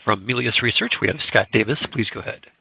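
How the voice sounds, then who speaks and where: A male conference operator makes short formal introductions at a quick clip. His voice is lowish and gravelly. It comes over a slightly muffled line. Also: no room sound. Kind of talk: brief formal announcements. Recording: dry, slightly dull phone connection.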